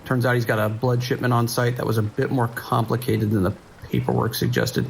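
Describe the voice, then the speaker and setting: Gravelly, measured American night dispatcher acted by a man. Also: medium pitch, natural voice, rough recording. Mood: concerned, measured. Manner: measured, procedural, hesitant pauses. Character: steady, weary male island sheriff's dispatcher on night shift. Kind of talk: naturalistic audio drama acting. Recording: faint noise, rain behind.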